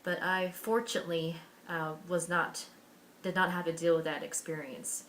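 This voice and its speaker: A young American woman with a low voice tells her own story to camera. She sounds earnest and candid, and she often restarts her sentences and fills pauses with "um" and "you know". A faint hiss runs under the recording. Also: flat delivery, a bit bright, low-quality recording. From Washington State, USA. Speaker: vlogger.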